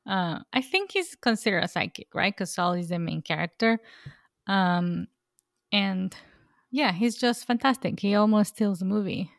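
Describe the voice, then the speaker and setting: A woman's voice: mid-range voice, normal speed, creaky, dry recording, studio quality. A woman co-hosting a casual podcast, chatty and laughing often. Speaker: podcast co-host.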